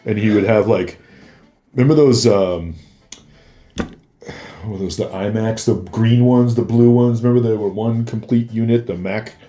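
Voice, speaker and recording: Male podcast guest, low voice, casual storyteller with a halting, backtracking delivery. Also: dark tone. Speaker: podcast guest, former security worker and DJ.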